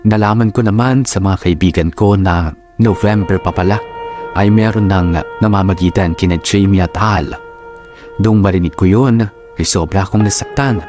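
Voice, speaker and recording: A man's voice: low-pitched, close to an everyday voice. A man narrating as a jilted young student, recounting his heartbreak reflectively in the first person for a radio drama. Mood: wistful, hurt.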